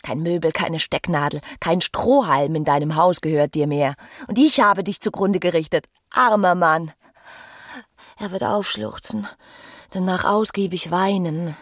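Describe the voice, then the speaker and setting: Desperate ruined wife in a radio play, husky breathy voice, intense, pleading with her old lover. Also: lowish voice, measured pace. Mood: bitter despair. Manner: theatrical, intense, swinging between whisper and outburst. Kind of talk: radio drama acting.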